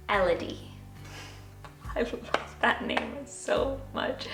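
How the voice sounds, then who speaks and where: Bubbly woman vlogger in a roomy space. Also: mid-range voice. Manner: gushing and bubbly, with soft giggles. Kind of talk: casual chat to camera. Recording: roomy echo with faint music.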